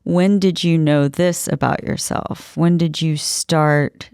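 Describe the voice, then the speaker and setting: A woman in her fifties interviews casually as a podcast host. Her voice is smooth and very clear, her manner is encouraging, and the sound is dry and close. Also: sits low, steady tone, takes it slowly, darkish tone, dry recording. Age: 50s. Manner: encouraging, affirming.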